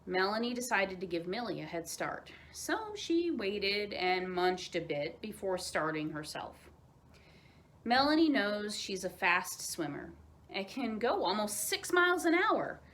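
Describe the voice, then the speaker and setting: A woman narrating a children's story aloud as a storyteller, in an expressive, dramatized way. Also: medium pitch, a little slow, a little hiss or hum.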